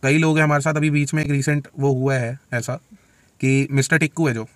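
A man's voice: mid-range voice, a little fast, no echo. A man in his twenties answering questions casually, joking and speaking bluntly, recorded in a parked car on a dashboard camera. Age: 20s. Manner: joking, blunt, relaxed storyteller. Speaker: vlogger. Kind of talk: casual Q&A chat. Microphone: camera phone on the dashboard.

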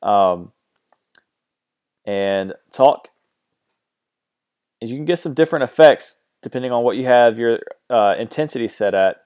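Relaxed, hesitant man with a mellow voice. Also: medium pitch, a little slow, long pauses, clear, resonant tone. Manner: relaxed, hesitant, many ums and uhs. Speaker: music production tutor. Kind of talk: screen-recorded software tutorial.

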